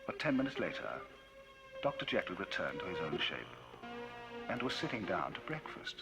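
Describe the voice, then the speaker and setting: Deep-ish guy doing a big theatrical audiobook read, music playing under him, old vinyl record. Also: big pitch swings, normal speed. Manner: theatrical, wide swings in pitch and loudness. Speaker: narrator. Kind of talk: dramatic audiobook reading.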